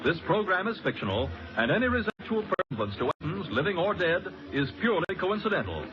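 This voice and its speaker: A man's voice: fairly high pitch, big pitch swings, fast, noisy background. A man reading closing credits as a 1940s radio announcer, in a formal scripted style. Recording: dull old radio recording with music. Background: music.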